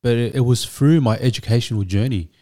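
A man, a Vietnamese speaker, telling his story as a guest in a measured, thoughtful way with frequent restarts. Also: mid-range voice, dark tone. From Australia. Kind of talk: reflective storytelling.

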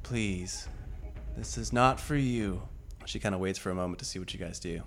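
A man's voice: low-pitched, moderate pace. A man narrating as game master in a casual, rambling way with lots of fillers and laughs.

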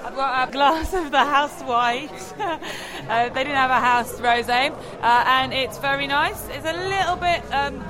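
A woman's voice: sits high, measured pace. A woman podcast contributor talking and asking questions in a casual, chatty style. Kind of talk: casual chat. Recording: crowd chatter behind.

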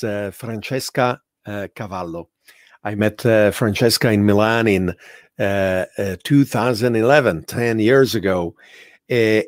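A man hosting a live interview show, speaking slowly and haltingly with many fillers. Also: fairly low pitch, no echo, studio quality. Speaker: podcast host.

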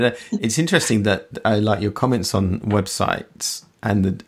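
A man hosting a podcast interview, speaking in a friendly, measured way with some hesitant restarts. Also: fairly low pitch, vocal fry. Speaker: podcast host.

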